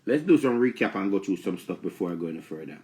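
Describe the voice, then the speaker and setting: A man's voice: sits low, quick pace. A man in his forties with a Jamaican accent, hosting a live talk stream from a small room with a stuffy nose, chatty and repetitive. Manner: chatty, repetitive, animated when addressing viewers. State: stuffy from allergies. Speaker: live show host. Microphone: phone camera close up.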